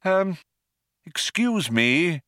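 Man playing a proud old man, pitched high. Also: very animated, drawn-out words, bright, forceful tone, dry recording, studio quality, full character voice. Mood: flustered, polite. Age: older. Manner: hesitant, courteous, turning indignant. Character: polite old man, proud, easily affronted craftsman. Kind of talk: audiobook character voice.

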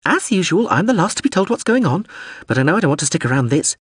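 A man voicing a fussy, indignant small robot drone in a shrill, screechy voice for an audiobook story. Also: big pitch swings, quick pace, husky, no echo, clean studio sound, exaggerated voice. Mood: huffy grumbling.

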